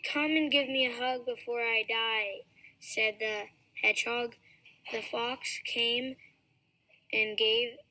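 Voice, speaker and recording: A woman's voice: on the high side, steady tone, slow, bright, forceful tone. A woman reading folktales aloud as a storyteller. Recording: clearly noisy home recording.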